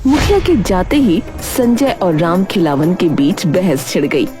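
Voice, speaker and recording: A woman tells a scripted cartoon tale as narrator. Her intonation is lively and dramatic, moving freely up and down. Music plays clearly behind her. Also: fairly low pitch, unhurried. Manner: dramatic storytelling. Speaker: story narrator. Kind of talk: scripted narration of a Hindi cartoon moral story.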